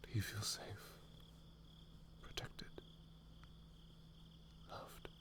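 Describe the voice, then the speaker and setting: A man voicing a devoted, protective knight, soft, breathy and earnest, in a romantic ASMR fantasy roleplay. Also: extremely slow. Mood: tender, protective. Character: devoted young knight, protective, wary, tender lover.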